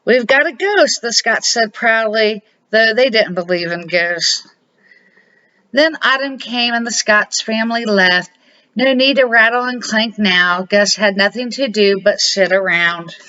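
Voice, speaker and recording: Casting: grandmotherly woman, middle-aged or older, bright voice, deliberate expressive storybook read, dull home recording. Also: medium pitch. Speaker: grandmother reading to children, series host. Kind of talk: read-aloud children's storybook narration.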